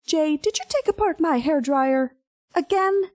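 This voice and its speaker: Woman as audio drama mother: doting yet firm, high-pitched, very animated, close dry recording. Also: unhurried, no echo. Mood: suspicious. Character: doting but no-nonsense suburban mother.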